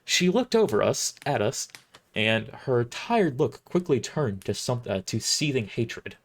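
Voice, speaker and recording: A man narrating a first-person story live, reading aloud a little haltingly with self-corrections. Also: mid-range voice, sing-song. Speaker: narrator and performer of own audio drama.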